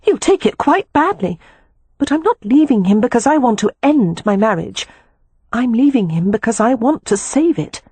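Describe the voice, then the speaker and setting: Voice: mid-range voice, animated, normal speed, warm tone, breathy edge, no room sound, voice pushed up. A narrator voicing an earnest, distressed young married woman in an audiobook, pleading and emphatic in manner. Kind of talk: audiobook character dialogue.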